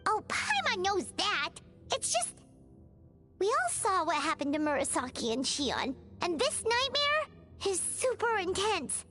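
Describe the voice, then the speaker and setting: An excitable little fairy companion, chirping in a very high, squeaky, childlike voice that leaps up and down. She refers to herself by name and sounds like a cartoonish video game sidekick. Soft game music plays under her. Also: measured pace, full character voice. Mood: worried.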